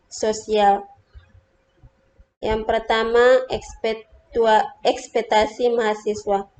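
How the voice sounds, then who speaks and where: A woman's voice: fairly high pitch, dark tone, poor audio. A young woman reading a student slide presentation carefully, with small stumbles. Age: young adult.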